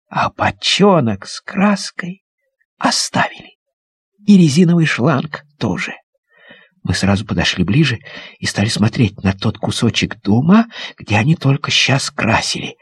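A man narrating a children's audio story as a young boy, in an animated, theatrical way with childlike enthusiasm. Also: fairly low pitch, slightly rough, no room sound. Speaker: audiobook performer.

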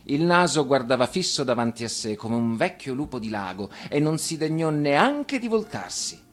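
Male actor for expressive fairy-tale narration, very animated intonation, light music underneath. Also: medium pitch, moderate pace. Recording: radio reading over music.

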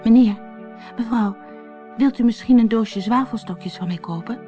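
A storybook narrator voicing a timid, polite, hungry and poor little girl who pleads softly. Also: mid-range voice, moderate pace, faint music behind, voice pushed up, natural voice. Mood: polite pleading.

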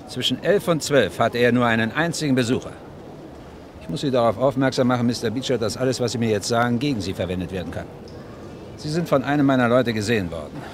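An actor playing a stern, formal police inspector in a 1960s radio crime play, speaking in measured phrases. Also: male voice, medium pitch, close to an everyday voice. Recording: noisy old radio play with traffic ambience.

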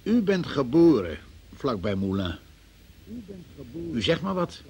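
An actor playing a stern, impatient investigating magistrate in a Dutch radio play, probing and sceptical. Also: male voice, medium pitch, sing-song, takes it slowly, darkish tone, slightly rough, exaggerated voice. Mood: exasperated, sceptical. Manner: probing, sceptical, officious, exasperated.

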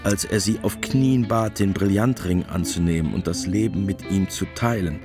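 A man narrates a literary radio play in a fairly low voice. His delivery is measured and matter-of-fact, with music clearly underneath.

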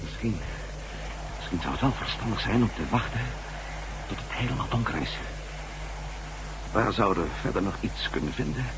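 Animated, uneasy adventurer played by a man. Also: lowish voice, normal speed, pauses often, lightly acted. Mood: curious, musing. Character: Matt, uneasy adventuring former astronaut and reporter. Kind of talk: Dutch radio drama acting. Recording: noisy old radio drama recording.

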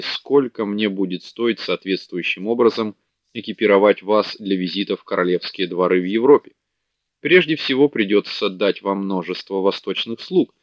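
A man's voice: fairly low pitch, no room sound, natural voice. A man voicing a sleepy, greedy, fat male merchant who talks down to people, on a dull, dry audiobook recording. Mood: calculating. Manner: haughty, contemptuous.

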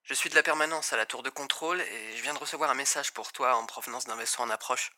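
A man's voice: mid-range voice, fast, bright, forceful tone. A man playing an informal, businesslike control tower operator in a science fiction audio drama. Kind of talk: French audio drama acting.

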